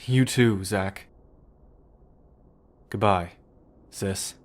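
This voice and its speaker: A man's voice: fairly low pitch, extremely slow, slight background noise. A man acting a remorseful, affectionate brother in an ASMR roleplay, with a hesitant, heartfelt delivery. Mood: determined, affectionate.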